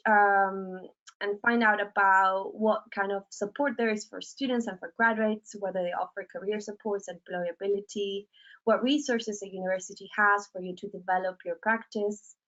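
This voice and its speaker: A young Argentine woman hosting a student panel in a webinar. Her voice sits in a middle pitch and she explains things steadily, with many filler sounds. The audio is dull and lo-fi, like a video call. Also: fairly even intonation, normal speed, a bit bright. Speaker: panel moderator, film graduate.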